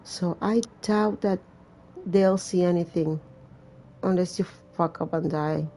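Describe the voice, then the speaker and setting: A woman's voice: on the low side, steady tone, slow pace, warm tone. A woman reading a visual novel aloud as a gamer, with casual, irreverent and sweary asides. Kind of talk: let's-play reading with casual commentary.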